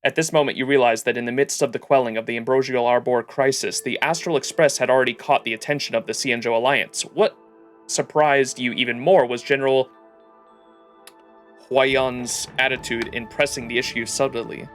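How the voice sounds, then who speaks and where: A male game livestreamer with an average-pitched voice. He is informal and playful, commenting casually and reading game text aloud. Faint music plays underneath. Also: fairly even intonation, a little fast.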